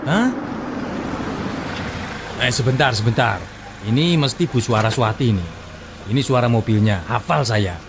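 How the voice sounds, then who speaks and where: A man's voice: mid-range voice, measured pace, long pauses, noisy background, close to an everyday voice. A man playing a wise, inquisitive village stall host in a scripted comic drama, his talk full of exclamations. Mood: indignant, concerned. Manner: exclamatory, punctuated with interjections. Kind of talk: scripted comic radio-style drama dialogue.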